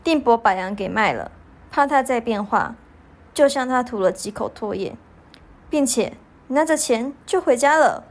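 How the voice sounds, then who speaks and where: A woman's voice: fairly high pitch, lively intonation, moderate pace. A teenage girl narrating a scripted story for a student radio drama.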